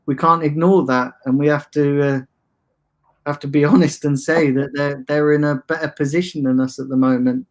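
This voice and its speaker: A man with a soft, soothing voice and a slightly higher pitch talks football in a laid-back way. He hesitates often, restarting phrases and filling gaps with "uh" and "um". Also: fairly even intonation, normal speed. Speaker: guest, fan channel streamer. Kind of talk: casual football chat.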